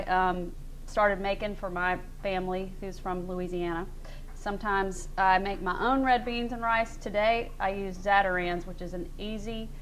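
Woman in her thirties, cooking show host, easygoing chat, faint room hum behind. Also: medium pitch. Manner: chatty and friendly, with y'all and fillers. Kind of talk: casual cooking demonstration. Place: large studio kitchen indoors.

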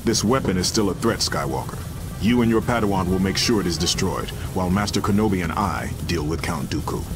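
An actor playing a grave, commanding Jedi general in a video game, stern, formal and resolute. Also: male voice, low voice, animated, fairly quick, over music. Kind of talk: video game cutscene acting.

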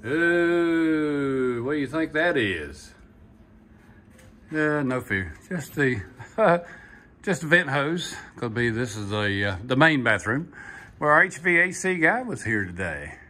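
An older man talks casually as he films a tour on his phone, folksy and full of "uh"s. His voice is bright and forward. A faint hiss of background noise sits under him. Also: a little high, big pitch swings, slow pace. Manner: folksy, chatty, with many "uh" fillers and "yeehaw". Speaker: vlogger touring his house build. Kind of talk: casual walkthrough narration. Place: unfinished wooden house frame, indoors and on outdoor steps. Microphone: phone camera held by the speaker.

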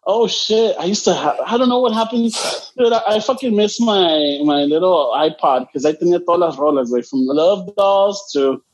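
A man's voice: high voice, moderate pace, lo-fi sound. A man with a Chicano Los Angeles accent, co-hosting a casual podcast chat, rambling, swearing and switching between English and Spanish. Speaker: podcast co-host.

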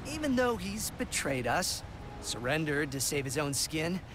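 Earnest, lively young space hero. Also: male voice, fairly high pitch, a little slow, background noise. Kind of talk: animated video game acting. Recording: music playing behind.

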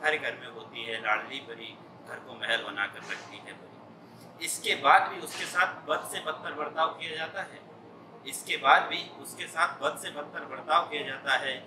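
A young man, slowly declaiming a poem and repeating each line. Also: medium pitch. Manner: slow, repetitive declamation with each line said twice. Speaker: poet reciting his poem. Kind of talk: poetry recitation. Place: indoor room in front of a brick wall. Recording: echoey room, mic at a distance, clear background noise.

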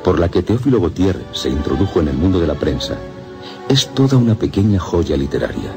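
A man presents radio drama in an extremely low voice with a Castilian accent. His scripted narration is solemn and deliberate, phrased for suspense, with music underneath. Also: sing-song. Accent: Castilian Spanish.

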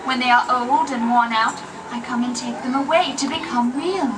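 A woman playing a gentle, kindly nursery fairy in a children's puppet show. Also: a little high, moderate pace, background noise, close to an everyday voice. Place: puppet theatre, heard from the audience. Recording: distant puppet theatre recording, music behind.

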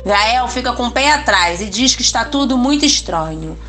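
Woman, voice-over narration, steady scripted reading, slightly high voice, soft music behind. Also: normal speed. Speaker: recap narrator.

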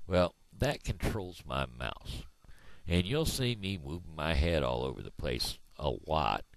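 Man, fifties, folksy drawl, rambling, very deep and gravelly, lively pitch swings, dry headset sound. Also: dry recording. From Missouri, USA. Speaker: video blogger. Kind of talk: casual rambling monologue. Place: small room indoors. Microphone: headset microphone.

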